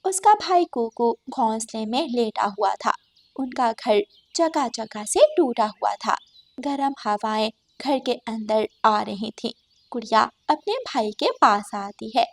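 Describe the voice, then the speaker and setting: Casting: female narrator for kids' animated tales, fairly high pitch, unhurried delivery. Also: vocal fry. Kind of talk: scripted children's story narration.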